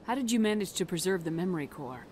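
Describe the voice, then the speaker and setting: A woman's voice: on the low side, animated, darkish tone, slight background noise, close to an everyday voice. A woman playing a resolute military commander in a video game, speaking firmly and directly. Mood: serious, urgent. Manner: firm, measured, direct. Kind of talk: video game cutscene acting.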